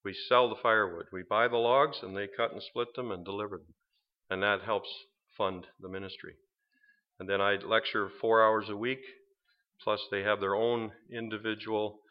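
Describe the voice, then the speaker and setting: A man's voice: lowish voice, highs cut off. A man giving an earnest, plain-spoken church talk about a ministry program, with touches of dry humor. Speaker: ministry program staff, discipline head.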